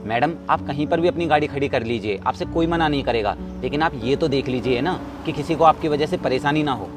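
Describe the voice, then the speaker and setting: An actor playing a stern resident who scolds a careless driver in a short drama skit. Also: male voice, fairly high pitch, brisk, music in the background, natural voice. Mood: scolding. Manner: scolding and lecturing.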